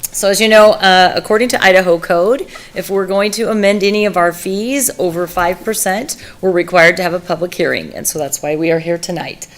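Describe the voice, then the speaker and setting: A woman, a municipal services director, presenting a staff report at a city council meeting, her voice hoarse from seasonal allergies and broken by throat clearing and fillers. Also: on the low side, fairly quick.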